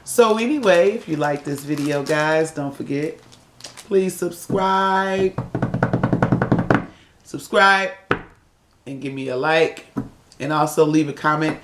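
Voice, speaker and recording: A woman casually chatting through an unboxing for her viewers, her voice raspy from a cold as she admires each piece. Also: low voice. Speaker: unboxing vlogger. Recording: echoey room.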